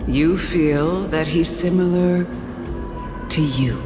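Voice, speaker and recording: An actress playing a composed, regal woman of quiet authority, with measured and formal delivery in a dramatic game scene. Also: deep voice. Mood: calm, measured. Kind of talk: dramatic scripted game voice acting. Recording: echoey long reverb, music behind.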